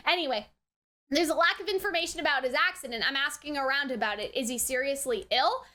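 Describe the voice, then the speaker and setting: High-pitched woman giving casual review commentary. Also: quick pace, pushed and bright. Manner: rambling, theorising aloud, frequently checks with "right?" and "you know". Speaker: reaction video host.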